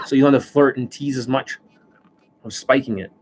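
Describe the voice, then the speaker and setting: A man hosting a live stream as a dating coach. He rants bluntly and swears often, and his voice is worn and hoarse from overuse, with coughs and throat clearing. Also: medium pitch, steady tone, normal speed, a little hiss or hum. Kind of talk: livestream monologue. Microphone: desk microphone.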